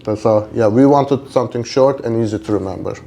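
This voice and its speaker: A man's voice: medium pitch, brisk, gravelly, mellow, a little hiss or hum. A middle-aged man from Turkey speaking conversationally as a podcast guest, with a polite, appreciative manner. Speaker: interview guest, company co-founder.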